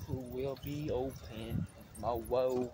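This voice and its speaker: A young American man rambling casually in a vlog, halting and repeating himself, recorded on a phone at arm's length outdoors. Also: medium pitch, steady tone. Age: young adult. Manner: repetitive, halting, trailing off. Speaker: daily vlogger. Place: outdoors on a bike by streets. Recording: very noisy outdoor recording, wind and vehicles.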